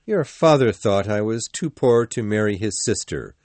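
A man voicing a brooding, quietly bitter adult man in storybook dialogue, measured and commanding. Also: lowish voice, animated, no room sound, natural voice. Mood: sad, bitter.

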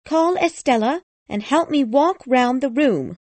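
A woman acts out an imperious, death-obsessed old lady from a classic storybook. Her voice sits fairly high and speaks in sharp, cruel commands. The sound is very dry, with no room around it. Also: expressive, measured pace, no room sound. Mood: imperious, morbid. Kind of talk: acted audiobook dialogue.

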